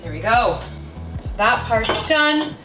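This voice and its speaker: A woman's voice: on the high side, sing-song, slow. A woman in her thirties, chatting casually through a home cooking vlog, thinking aloud with fillers and self-deprecating asides. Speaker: cooking vlogger. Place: home kitchen, indoors. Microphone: camera mic from across the kitchen. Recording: roomy kitchen, distant mic, music behind. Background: background music.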